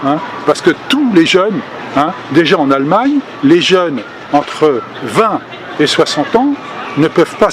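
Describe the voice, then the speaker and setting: An older man delivers an unscripted activist speech outdoors, voice pitched a little high for a man. He pauses often, restarting sentences and filling gaps with hesitations, but stays earnest throughout. Passing traffic and street noise sit clearly behind him. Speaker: activist speaking at a public meeting. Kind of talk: spontaneous political speech.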